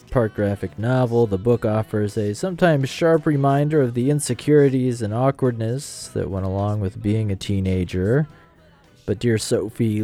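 An American man presents an arts programme on the radio in a scripted, presenterly way. His tone is dark and relaxed, at a middle pitch, with light music underneath. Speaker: arts radio show host. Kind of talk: scripted radio narration and interviewing.

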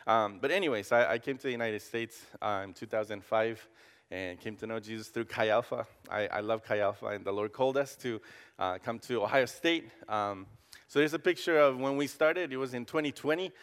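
Guest pastor, man in his 30s, Costa Rican accent, relaxed joking delivery with lots of hesitations, reverberant church hall. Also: medium pitch, brisk, clear, resonant tone. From Costa Rica. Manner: friendly and joking, frequent hesitations. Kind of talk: casual sermon. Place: church sanctuary.

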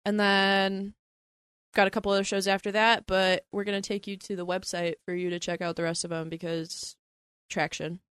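A woman's voice: medium pitch, flat delivery, fairly bright tone, clean tone, no echo. Playful woman co-hosting a podcast. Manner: playful, jokey, quick asides. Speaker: podcast co-host. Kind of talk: casual podcast chat.

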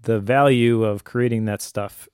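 A man's voice: fairly low pitch, fairly even intonation, unhurried, darkish tone, smooth, no room sound, clean studio sound. A man co-hosting an advice podcast, talking conversationally in an earnest, explanatory way. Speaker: podcast co-host.

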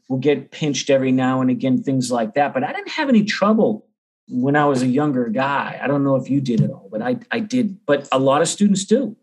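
A middle-aged man with an American accent speaks as an interview guest. His voice sits at an average pitch, and he talks in a chatty, informal way, like a teacher in relaxed conversation. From Boston area, United States.

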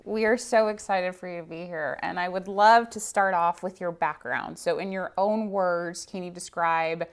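A woman's voice: fairly low pitch, fairly even intonation, normal speed, few pauses, smooth. A woman interviewing a guest, asking questions in an upbeat, effusive way.

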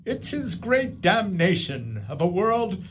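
A man's voice: high voice, sing-song, slight background noise, full character voice. An actor playing a genial, wealthy older landowner who welcomes guests at his feast in a community radio drama. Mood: hearty. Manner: hearty, measured, welcoming. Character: genial wealthy older male Dutch landowner, host father.

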